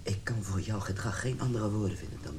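A man's voice: fairly low pitch, expressive, fairly quick, very noisy. A male actor playing a wounded, domineering middle-aged husband in a radio drama, with an agitated manner. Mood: bitter, scornful. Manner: agitated, stammering when upset.

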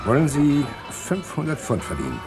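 A man's voice: on the high side, huge pitch swings, moderate pace, music in the background. An actor playing a scheming male client hiring a crook in a German radio crime play. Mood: urgent, scheming. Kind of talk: 1950s to 80s German radio crime drama acting.

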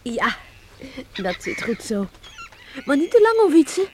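A woman's voice: high-pitched, big pitch swings, slow, a little mellow, a little hiss or hum, full character voice. An actress playing an affectionate, eager and wistful young island farm woman in a Dutch radio play. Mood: fond. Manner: tender, full of sighing exclamations.

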